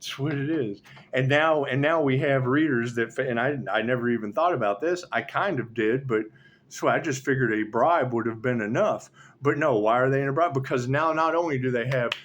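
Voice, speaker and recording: A man's voice: mid-range voice, a little fast, fairly bright tone, dull audio, slight background noise. A man rambling sarcastically as a tarot reader, swearing often and laughing between remarks. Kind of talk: rambling monologue.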